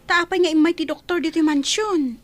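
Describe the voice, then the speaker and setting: A very high voice of a curious, concerned young female friend in an Ilocano radio play. Faint music plays under her questioning lines. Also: fairly quick. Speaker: radio drama actor. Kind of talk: Ilocano radio drama acting.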